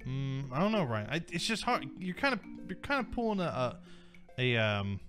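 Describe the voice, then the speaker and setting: A man's voice: mid-range voice, very animated, normal speed. A man hosting and acting in a game roleplay, upbeat and playful with his friends. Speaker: host and roleplayer. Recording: game audio with music underneath.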